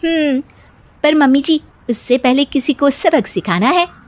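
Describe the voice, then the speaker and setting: A woman plays a young Indian daughter-in-law in a Hindi cartoon. Her voice is extremely high and squeaky. She is timid but cheeky, answering back in a whiny, pert way. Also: animated, a little slow. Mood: confident. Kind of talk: Hindi cartoon story voice acting.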